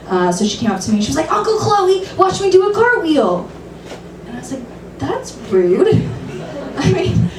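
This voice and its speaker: A woman in her thirties doing a dry, self-deprecating stand-up set, sometimes shrill and laughing at her own jokes. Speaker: stand-up comedian. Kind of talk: stand-up set at an open mic. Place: comedy club stage. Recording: roomy, distant, noisy club recording.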